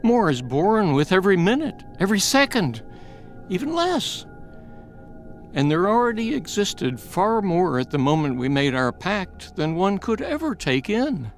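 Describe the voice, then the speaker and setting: Guy playing an old scholar in an audiobook, wry and theatrical, takes his time, highish voice, music underneath. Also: big pitch swings, a little slow, heavily acted. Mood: calm, proud. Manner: eloquent, theatrical, mocking. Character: elderly learned scholar, fearless, wry and taunting. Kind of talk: dramatized audiobook acting.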